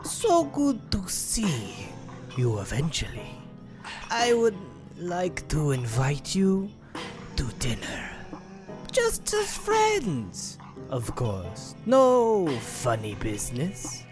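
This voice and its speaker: Woman as ancient menacing wrestler chef, low swooping voice, slow drawl, whispery, music behind. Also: huge pitch swings, exaggerated voice. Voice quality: whispery, sing-song, swooping delivery. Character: theatrical centuries-old wrestler chef, menacing. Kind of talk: wrestling promo.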